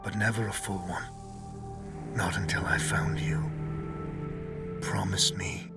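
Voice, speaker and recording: Aged soldier in a game cinematic, deep and slow, breathy, solemn farewell letter. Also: male voice, little pitch movement, distant mic, over music. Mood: tender, resigned. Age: older. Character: old weary soldier, tender, resigned to death. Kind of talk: dramatic voice-over of a letter.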